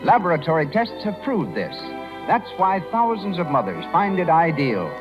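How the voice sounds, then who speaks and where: A man with a high, lively American voice announces a 1930s radio program. His delivery is formal and proclaiming, made for introductions and sponsor copy. Music plays prominently on a dull old broadcast recording. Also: normal speed.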